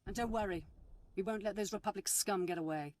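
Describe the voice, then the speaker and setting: A woman playing a brisk, steely imperial warship officer in a video game, clipped and commanding. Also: medium pitch, darkish tone, close to an everyday voice. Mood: urgent, commanding. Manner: clipped, commanding, deferential to superiors.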